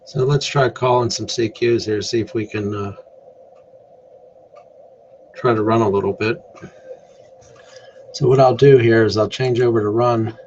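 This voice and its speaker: A man's voice: medium pitch, steady tone, a little slow, pauses often, darkish tone. A man giving a casual, unscripted tutorial, thinking aloud as he works through a demonstration. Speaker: amateur radio contest demonstrator. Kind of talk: casual screen tutorial narration. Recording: dull lo-fi mic with faint noise.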